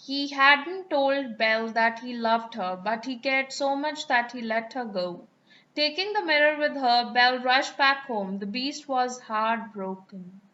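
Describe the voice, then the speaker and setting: A woman narrating a children's fairy tale as an expressive, dramatic storyteller. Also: on the high side, measured pace, highs cut off.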